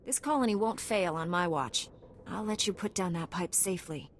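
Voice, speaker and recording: An actress playing a calm, noble warrior monk in a video game, composed and assured. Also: female voice, on the low side, normal speed, slight background noise, natural voice. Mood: confident.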